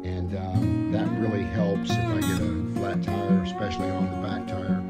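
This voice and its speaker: An older man showing the gear he carries on his e-bike in a relaxed, plain way, with many ums and uhs. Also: low-pitched, normal speed, over loud music. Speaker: e-bike channel host. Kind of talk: casual show-and-tell of gear.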